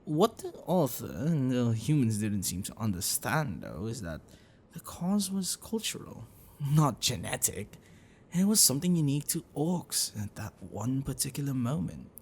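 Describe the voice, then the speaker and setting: A man playing a gentle, bookish dragon-blooded librarian boyfriend in an ASMR roleplay, speaking in a hesitant, caring way. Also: medium pitch, sing-song, normal speed, slightly rough. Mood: earnest storytelling. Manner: hesitant, soft, caring, explanatory.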